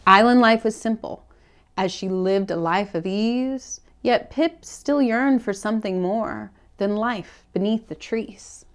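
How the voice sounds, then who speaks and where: A woman with a mid-pitched voice reads a children's picture book in verse. She shapes each line in an expressive, deliberate way, as a library story-time host. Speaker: children's read-aloud host at a public library. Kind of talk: storybook read-aloud for children, rhyming verse.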